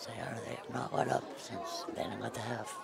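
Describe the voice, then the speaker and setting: A man giving color commentary at an outdoor high school football game in short, relaxed remarks. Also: a little fast, vocal fry. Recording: loud crowd noise. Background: loud crowd noise.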